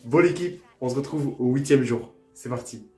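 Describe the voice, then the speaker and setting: A man's voice: mid-range voice, moderate pace, darkish tone. A young man vlogging casually, joking at his own expense and repeating phrases as he tries them out. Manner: playful, self-mocking, repeats phrases over and over. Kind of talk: casual vlog, trying out language-app phrases. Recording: echoey room with faint music.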